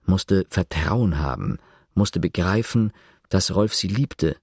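An actor playing a reflective narrator writing his account, measured and ironic, in a German literary radio play. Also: deep voice, darkish tone, husky, no room sound, studio quality, heavily acted. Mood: dryly ironic.

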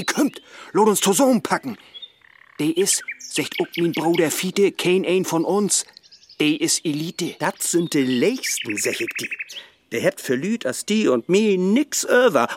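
A grumbling Low German bigot. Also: male voice, a little hiss or hum. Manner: grumbling, resentful. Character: narrow-minded middle-aged male bigots grumbling.

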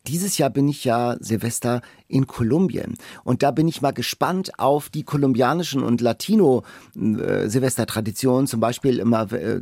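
A man's voice: medium pitch, creaky, a little mellow, dry recording, clean studio sound. A man chatting casually as a podcast co-host. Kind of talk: casual chat. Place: podcast studio.

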